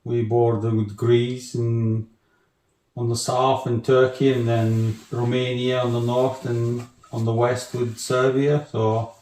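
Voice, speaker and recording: A man from Bulgaria with a Bulgarian accent, chatting as an interview guest in a halting way with restarts and many fillers. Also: mid-range voice, level tone, darkish tone, roomy sound, a little hiss or hum, rough recording. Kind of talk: casual interview chat.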